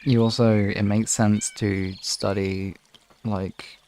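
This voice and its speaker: A man with a low voice and a lot of creak chats casually on a livestream. He rambles informally as he addresses his viewers. Some faint noise sits behind him. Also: flat delivery, slow pace, a little mellow. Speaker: livestream host.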